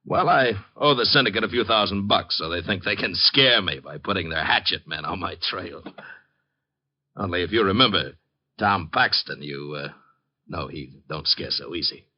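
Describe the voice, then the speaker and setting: A man's voice: sits low, expressive, moderate pace. Jittery washed-up tough guy, tinny old radio. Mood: boastful bluster. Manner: slangy, blustering, defensive. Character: washed-up, jittery ex-football-star private eye, boastful and desperate. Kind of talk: 1950s radio drama acting.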